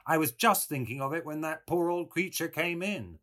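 Man playing a confident English gentleman, pitched up. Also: lively intonation, normal speed. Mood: earnest.

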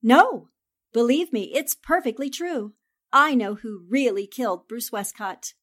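A woman's voice: on the high side, unhurried, a bit bright. A woman playing a shrewd, confident newspaper reporter with poised, theatrical delivery in a 1940s-style radio drama. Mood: bright confidence. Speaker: voice actor.

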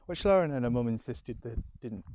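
A man's voice: mid-range voice, expressive, brisk, dark tone, no room sound, muffled recording. A man with a Yorkshire accent rambling casually through his weekend in a diary-style chat, with lots of ums and a self-deprecating manner. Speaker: diary podcast host. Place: home.

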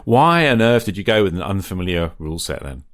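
A man's voice: low voice, big pitch swings, fast talker, a bit bright. A man hosting a casual conversational interview, speaking in a reflective, affirming way. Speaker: podcast host, interviewer.

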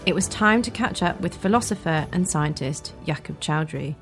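A woman's voice: sits low, expressive, moderate pace, a bit bright, soft background music. A woman hosting, speaking reflectively as she interviews and narrates. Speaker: host and interviewer.

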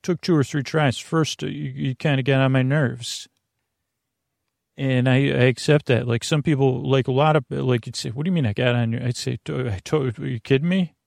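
Guy with a creaky mid-pitched voice rambling through a goofy bedtime podcast intro, keeps losing his thread, super dry sound. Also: fairly even intonation, moderate pace, darkish tone, no room sound. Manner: meandering, frequently trailing off and circling back; silly, goofy. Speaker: podcast host.

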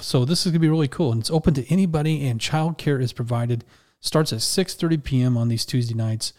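A man's voice: medium pitch, darkish tone. A man giving church event announcements on a podcast in an upbeat, informal way.

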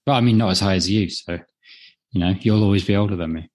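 A man's voice: low-pitched, fast talker, darkish tone. A man in his late thirties co-hosting a podcast, chatting casually in a hesitant, self-deprecating way that often trails off. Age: late 30s. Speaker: podcast co-host. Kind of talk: casual chat.

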